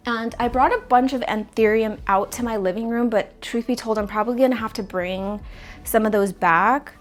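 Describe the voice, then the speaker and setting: A sniffly woman chatting casually and rambling a little while she rearranges houseplants in her apartment. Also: mid-range voice. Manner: chatty, rambling, self-correcting. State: sniffly, stuffy nose. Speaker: plant vlogger. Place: apartment plant room.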